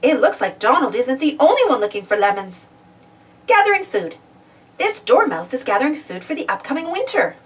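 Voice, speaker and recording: A high-voiced woman narrates a children's storybook. Her reading swings widely in pitch and is expressive and simple for small kids. She sounds set back from the microphone. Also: brisk, distant mic. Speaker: storybook reader. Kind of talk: scripted read-aloud children's storybook narration.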